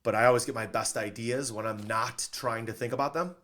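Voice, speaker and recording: A man's voice: mid-range voice, fairly even intonation, fairly quick, fairly bright tone. A man hosting a podcast in an upbeat interview style, enthusiastic and affirming as he talks. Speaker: podcast host.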